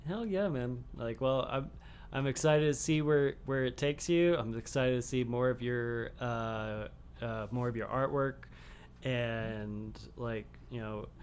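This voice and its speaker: A man's voice: mid-range voice, a little slow, slight background noise. A man hosting a casual podcast, rambling with lots of fillers and casual swearing. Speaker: podcast host.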